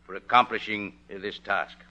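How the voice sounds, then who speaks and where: An actor playing a dignified lieutenant governor, speaking formally in a 1950s radio comedy. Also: male voice, fairly low pitch, fairly even intonation, moderate pace, pushed and bright, dull audio. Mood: stately. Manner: formal, oratorical. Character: dignified lieutenant governor giving a ceremonial speech.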